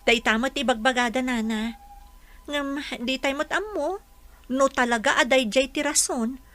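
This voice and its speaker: Woman acting bright, high-voiced earnest young village woman. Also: moderate pace. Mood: concerned. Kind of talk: radio drama dialogue.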